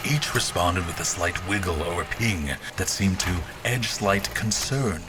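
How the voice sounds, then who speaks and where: A man's voice: low-pitched, very noisy, close to an everyday voice. An actor playing a formal male officer who recounts his memory in scripted, literary dramatic narration.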